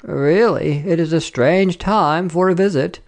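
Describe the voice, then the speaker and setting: A woman's voice: fairly low pitch, a little slow, darkish tone, voice pushed up. A woman voicing a prim, nosy, smugly triumphant Frenchwoman from a story, with an insinuating, emphatic delivery. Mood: inquisitive.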